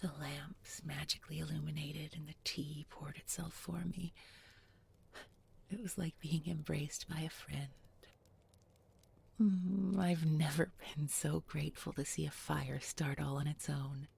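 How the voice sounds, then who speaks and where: A very breathy, slow-spoken young village girl, acted by a woman, bookish and tender. She murmurs softly and soothingly, often slipping into whispers, in the close style of a fairy-tale audio roleplay. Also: low voice, little pitch movement, full character voice. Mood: warm, reminiscing. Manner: slow, gentle, murmured with lingering pauses.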